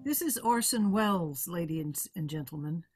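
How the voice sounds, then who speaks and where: A woman's voice: lowish voice, lively intonation, normal speed, a little mellow, soft background music, close to an everyday voice. A woman playing a wry showman host, giving a playful, formal sign-off to radio listeners. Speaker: actor delivering radio play's closing address.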